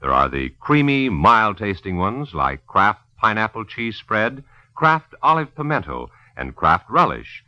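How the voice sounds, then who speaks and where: Very bright, forceful low-voiced radio announcer man. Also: very animated, a little slow, no echo. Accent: American. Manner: polished, emphatic sales delivery. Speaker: announcer and narrator. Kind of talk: vintage radio ad read and scripted narration. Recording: dull, dry vintage radio recording.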